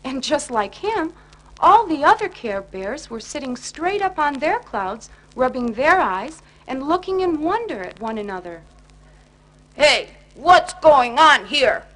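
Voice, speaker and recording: A woman narrating a children's bedtime story in an expressive storytelling manner. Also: high voice, measured pace. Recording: noisy 1980s children's record.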